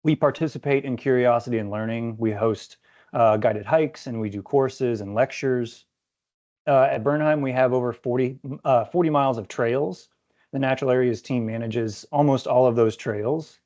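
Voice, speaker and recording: A man giving an informative slide presentation in a measured, explanatory way, with a voice that is starting to go. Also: mid-range voice, darkish tone. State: losing his voice, clearing throat. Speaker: conservation staff presenter. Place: virtual summit video call. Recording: dull lo-fi online call audio.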